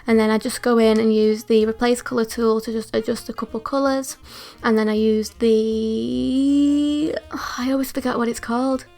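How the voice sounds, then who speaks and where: A young woman with a northern English accent talks casually about her art in a chatty, self-deprecating way. Her slightly high voice has a gravelly, croaky edge, since she has just woken up and has retainers in. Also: level tone, moderate pace, darkish tone, faint music behind. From England. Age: young adult. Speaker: art vlogger.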